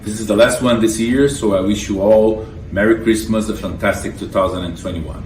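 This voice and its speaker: A man's voice: fairly low pitch, fairly even intonation, normal speed, roomy sound, recorded from a distance, noisy background. A man hosting the show and giving a friendly, upbeat welcome. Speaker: host. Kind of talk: show introduction.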